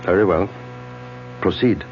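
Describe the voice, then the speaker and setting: A man's voice: low-pitched, measured pace. An actor playing a skeptical male doctor, anxious and stammering, in a radio drama style. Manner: stammering, incredulous. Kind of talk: 1970s radio drama acting. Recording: muffled old radio broadcast with music.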